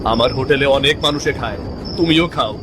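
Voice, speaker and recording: A man's voice: mid-range voice, a little fast, over music, lightly acted. A man playing a poor, kind-hearted village cook in a cartoon, with an earnest, humble manner and a rural Bangladeshi accent. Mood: humble, generous. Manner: earnest, humble, homely dialect. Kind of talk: cartoon story dialogue.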